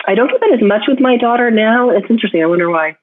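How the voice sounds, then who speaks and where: A woman's voice: on the high side, expressive, racing, no room sound. A woman photographer answering interview questions thoughtfully, often restarting her sentences as she thinks aloud. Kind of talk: reflective interview talk. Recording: tinny phone line, dry.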